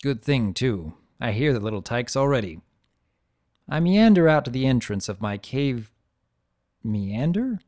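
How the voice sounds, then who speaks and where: A man acts out an easygoing adult dragon telling his tale in a storybook reading. The delivery is conversational and gently wry, full of musing asides. The pitch sits mid-range and moves animatedly. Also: big pitch swings, measured pace, dry recording, studio quality. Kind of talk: first-person dramatic reading of fan fiction.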